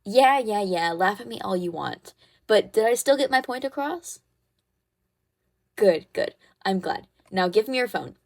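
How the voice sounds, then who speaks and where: A woman's voice: medium pitch, animated, unhurried, gravelly. A woman playing a caring, protective schoolgirl best friend. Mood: encouraging, reassuring. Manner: soothing, reassuring, gently bossy and teasing. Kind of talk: ASMR comfort roleplay, one-sided conversation.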